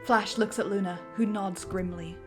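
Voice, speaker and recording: A woman's voice: medium pitch, fairly even intonation, normal speed. A woman narrating stage directions for a fan dramatic reading in a vivid storybook manner, over faint music.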